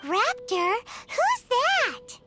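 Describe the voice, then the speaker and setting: A woman voicing a small, excitable, childlike fairy companion in a video game, with a squeaky voice. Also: drawn-out words, full character voice. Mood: puzzled. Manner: refers to self in third person, excitable. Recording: children's music behind the voice.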